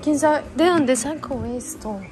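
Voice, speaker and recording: Young adult woman, Colombian Spanish accent, very high voice. Casual home vlogger with affectionate phrasing; clearly noisy home recording. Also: a little slow, darkish tone. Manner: affectionate, uses many diminutives. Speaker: vlogger and mother. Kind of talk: casual home vlog chat. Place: indoors in an apartment.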